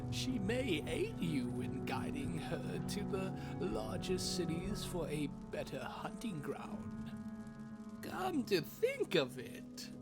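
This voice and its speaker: Sly mocking fantasy schemer, super animated and theatrical, drags out words, music behind her. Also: on the low side, slow pace, heavily acted. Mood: coaxing. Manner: theatrical, drawn out, swooping from whispers to cries. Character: sly, mocking, theatrical woman goading a dragon lord. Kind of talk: fantasy audio roleplay acting.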